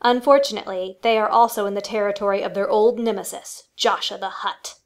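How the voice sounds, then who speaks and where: A woman's voice: medium pitch, steady tone, fairly quick. A woman reading scripted storytelling narration for a family radio play.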